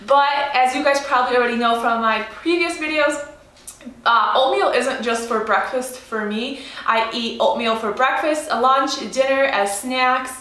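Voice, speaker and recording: A woman's voice: sits high, a little slow, a bit bright, roomy sound. A young woman with a Polish accent mixed with Chicago, chatting casually as a vegan lifestyle vlogger. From Poland. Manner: friendly, chatty, with fillers. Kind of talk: casual cooking vlog with voice-over.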